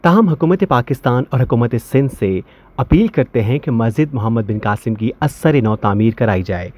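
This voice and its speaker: A man's voice: medium pitch, lively intonation, moderate pace, a little mellow. A man in his thirties hosting a travel vlog, upbeat on camera and formal in his narration. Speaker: host. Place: outdoors by a ruined site.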